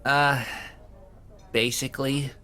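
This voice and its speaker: A man's voice: mid-range voice, little pitch movement, slow pace, sharp tone. A man playing an awkward, hapless young man in an audio drama, talking in a hesitant, sheepish way full of fillers. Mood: embarrassed, defensive. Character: awkward, hapless young man who keeps getting fired. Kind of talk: conversational audio drama acting.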